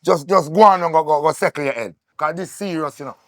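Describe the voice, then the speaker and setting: A man's voice: fairly high pitch, fast, a bit bright, no room sound, studio quality. A man with a Jamaican accent acting an anxious street man trying to defuse trouble, urgent and repetitive, in a drama. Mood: anxious, resolute.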